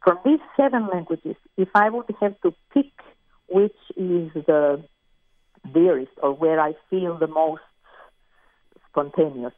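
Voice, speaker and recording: Croatian-accented musician on a tinny phone line. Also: female voice, fairly low pitch, slow pace, long pauses, dry recording. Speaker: guest musician. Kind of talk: interview over the phone.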